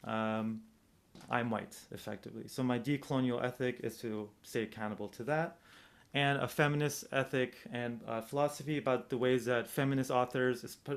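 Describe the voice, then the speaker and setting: American guy doing a kinda informal academic talk, mid-pitched voice, thinks out loud, hedges and explains as he goes. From United States, Southern California. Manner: reflective, hedging, thinking aloud with rhetorical questions. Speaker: presenter, domestic violence practitioner.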